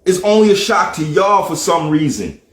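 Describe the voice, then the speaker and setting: A man's voice: high voice, lively intonation, normal speed. A man from Jamaica, delivering a heated, profane monologue on a livestream and firing off rhetorical questions. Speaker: livestream host. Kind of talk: ranting monologue. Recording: roomy echo.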